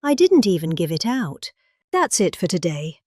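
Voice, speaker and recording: A middle-aged British woman giving a calm, expressive dramatic reading of a rambling speech. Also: on the low side, no room sound, studio quality. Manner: expressive, calmly measured delivery.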